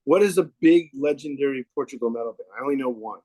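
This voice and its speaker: A man hosts a relaxed chat, asking questions and laughing easily. His pitch is ordinary, and the recording is dry and close with no room echo. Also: quick pace. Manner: laid-back, laughs easily, asks questions. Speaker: podcast host. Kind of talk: casual interview chat.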